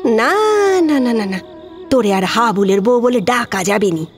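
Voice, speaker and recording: A salty old Bengali village auntie, slow-paced. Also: female voice, a little high. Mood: scolding. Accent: rural West Bengal. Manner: scolding, exclaiming, drawn-out folksy delivery. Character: elderly widowed village aunt, salty-tongued but affectionate. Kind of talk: Bengali audio drama acting. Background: background music.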